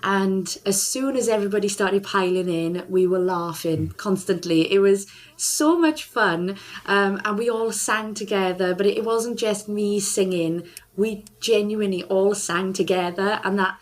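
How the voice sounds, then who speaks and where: Bubbly woman singer from Wales, enthusiastic, quick to laugh, mid-pitched. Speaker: singer and charity ambassador. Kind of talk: casual interview chat.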